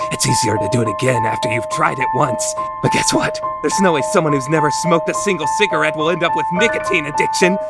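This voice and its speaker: Blunt anime high school boy played by a man, raised creaky voice, cynical and emphatic, loud music under. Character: blunt, cynical, excitable teenage boy student. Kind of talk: anime fan dub radio play acting.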